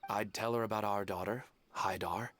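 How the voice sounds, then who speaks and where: A man's voice: on the low side, steady tone, moderate pace, close to an everyday voice. A man voicing a weary grieving father. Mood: sad. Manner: weary, quiet and polite. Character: grieving widowed father, subdued. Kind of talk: game character dialogue. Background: game music.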